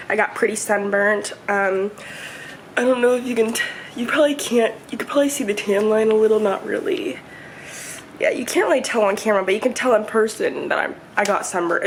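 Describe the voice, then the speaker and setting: Young woman vlogger, hoarse and stuffy, rambling home chat, slightly high voice, faint room hum. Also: rough recording. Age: 20s. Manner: rambling, chatty, many fillers. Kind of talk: casual home vlog chat. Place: home, indoors. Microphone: camera set up nearby.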